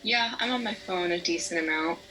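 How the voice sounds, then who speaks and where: A woman's voice: on the low side, fairly quick, bright, forceful tone, faint music behind, poor audio. A twenty-year-old woman studying psychology, answering in an easygoing, matter-of-fact way. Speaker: psychology student. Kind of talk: casual interview answers.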